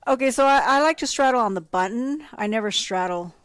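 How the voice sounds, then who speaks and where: A woman's voice: fairly high pitch, expressive, a little fast, fairly bright tone, smooth, no room sound. Easygoing woman talking poker, dry close sound. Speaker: poker commentary co-host. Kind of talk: casual chat about poker.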